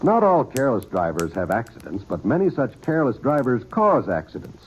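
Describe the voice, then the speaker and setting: A man reading a scripted public service announcement as a radio announcer, measured and emphatic. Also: medium pitch, wildly swooping pitch, slight background noise. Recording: dull old radio broadcast.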